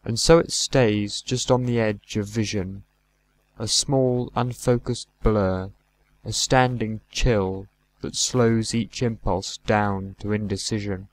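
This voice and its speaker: Male poetry reader, lower-pitched, very slow and deliberate, phrasing in short measured units. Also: steady tone. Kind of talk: poetry recitation.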